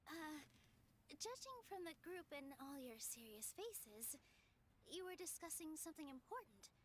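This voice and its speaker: A woman's voice: sits high, sing-song, slow pace, long pauses, a little hiss or hum, exaggerated voice. An actress voicing a cheerful, eager young woman dancer in a video game, polite and bubbly. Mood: curious, playful. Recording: game dialogue over background music.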